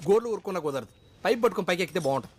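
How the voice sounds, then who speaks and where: A man's voice: high voice, animated, very fast, slight background noise. A man playing the hero's wisecracking, mock-dramatic friend in an Indian film comedy. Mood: bantering.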